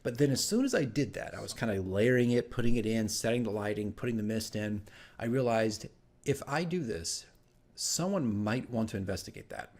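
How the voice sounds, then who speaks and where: A man telling the story of his tabletop game session as dungeon master, in a casual, animated, rambling vlog style. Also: fairly low pitch, normal speed. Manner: animated, rambling asides with acted-out exclamations.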